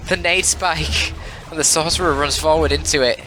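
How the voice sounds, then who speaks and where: A man's voice: a little high, fairly even intonation, moderate pace, faint music behind, poor audio. A man giving analytical, informal live game commentary in a casual, mildly sweary, exclamatory way. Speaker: esports caster, channel host.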